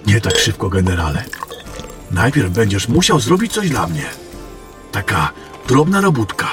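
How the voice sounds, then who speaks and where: A man's voice: fairly low pitch, very animated, normal speed. A man playing a mysterious, sly stranger in a fantasy audio drama, insinuating and smug. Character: mysterious, sly stranger trading secret information. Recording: music under the voice. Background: music.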